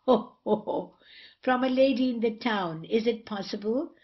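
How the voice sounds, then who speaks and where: A woman's voice: a little high, moderate pace, highs cut off, close to an everyday voice. A woman playing a saucy, sneering tavern wit in a period comedy, teasing and prying theatrically. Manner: teasing, inquisitive.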